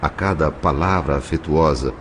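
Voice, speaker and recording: A man's voice: very deep voice, normal speed, fairly bright tone, slight background noise. A man with a Brazilian accent narrating an audio novel in a solemn, literary, carefully phrased style. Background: faint music.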